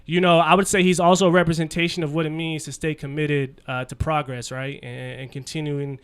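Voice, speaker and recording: A man's voice: a little high, flat delivery, a little fast, clear, resonant tone. A man hosting a podcast, talking in a casual, upbeat way and hyping up his guest. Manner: upbeat, hype, lots of "man" and "shout out". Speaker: podcast host. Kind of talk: casual interview chat.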